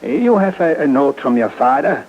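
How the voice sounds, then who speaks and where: A man's voice: on the high side, big pitch swings, normal speed. An actor playing a fussy, obliging foreign-sounding shopkeeper in old radio style, hesitant and repeating his words. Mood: apologetic, puzzled. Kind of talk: old-time radio suspense acting. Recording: muffled, noisy old radio broadcast.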